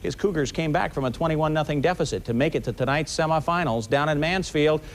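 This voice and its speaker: A man anchoring a sports segment, narrating game highlights with colorful, folksy phrasing. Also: fast talker.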